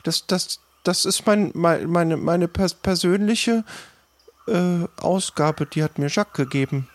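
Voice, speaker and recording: A man's voice: high-pitched, a little slow. A man voicing an eager, naive young cook's apprentice in a fantasy role-play, stammering and repeating his words. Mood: flustered. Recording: faint background noise.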